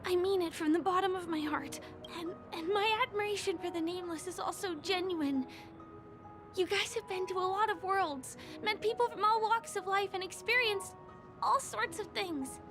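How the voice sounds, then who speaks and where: An actress playing a gentle, earnest young woman in a video game, soft-spoken, hesitant and sincere. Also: very high voice, moderate pace. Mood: sincere, grateful. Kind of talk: video game character dialogue. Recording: background music under the voice.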